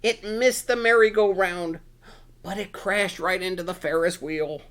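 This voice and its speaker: A man's voice: high-pitched, unhurried, a bit bright. A man reading a children's picture book aloud in a playful, exclamatory manner with dramatic emphasis. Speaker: storytime reader.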